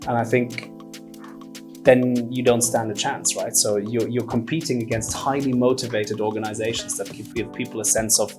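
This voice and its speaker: A man's voice: medium pitch, steady tone, moderate pace, music in the background. Articulate man from Germany and Finland, explaining. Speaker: podcast guest, entrepreneur. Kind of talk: conversational interview answers.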